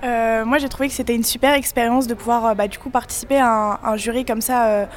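Teen girl all excited, high voice, euh bah everywhere, faint noise. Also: fast. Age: teens. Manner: enthusiastic, many fillers. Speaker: student juror.

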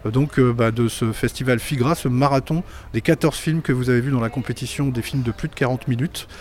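A man's voice: mid-range voice, fairly quick. Hesitant male interviewer. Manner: hesitant questions with fillers. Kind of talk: radio interview.

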